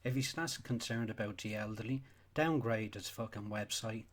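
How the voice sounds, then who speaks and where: A man with an Irish accent delivering an unscripted, opinionated rant as a stream host, blunt and sweary, repeating phrases for emphasis. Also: medium pitch. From Ireland. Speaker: livestream host.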